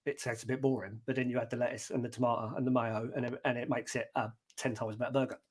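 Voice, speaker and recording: A man with a deep talking voice, a singer being interviewed, who answers in a relaxed, plain-spoken way and often starts with a hesitant um. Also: quick pace, husky. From Britain. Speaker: guest singer.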